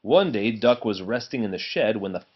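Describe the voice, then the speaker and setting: A man narrates a children's story aloud. His pitch is medium and moves around expressively. The recording is slightly dull, like a simple home setup. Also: expressive, moderate pace, slightly rough. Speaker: storybook reader.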